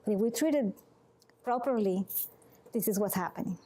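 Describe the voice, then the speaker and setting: A woman from Venezuela with a Venezuelan Spanish accent, a massage therapist giving an earnest, informal health talk to a group. She speaks in halting, restarting phrases and often checks in with "okay?". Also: medium pitch, dark tone.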